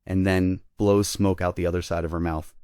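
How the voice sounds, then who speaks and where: A man narrates a play script in a low-pitched voice. He reads scene headings and stage directions, and the sound is dry and close. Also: level tone, moderate pace, warm tone, clean tone, dry recording.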